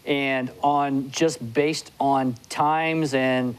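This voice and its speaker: A man in his forties with a voice of ordinary pitch, appearing as a guest on a TV studio show and analyzing horse races. He speaks in quick bursts, restarting often and leaning on "you know", into a lapel mic. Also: fairly even intonation, clean tone. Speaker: guest horse racing handicapper. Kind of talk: studio interview. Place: TV studio with city skyline backdrop.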